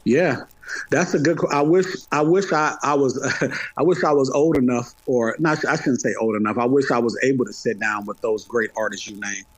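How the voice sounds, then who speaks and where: A man's voice: medium pitch, moderate pace, no room sound, rough recording. Middle-aged man, dry close sound. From Atlanta, United States. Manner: conversational, repeats phrases for emphasis. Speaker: podcast guest, hip hop podcaster. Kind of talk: casual interview chat.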